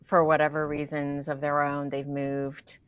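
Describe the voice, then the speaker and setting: Low-voiced woman host, dry close sound. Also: monotone, a little slow, clear, resonant tone, no room sound. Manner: friendly, chatty, with frequent affirmations. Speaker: podcast host. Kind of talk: casual interview conversation.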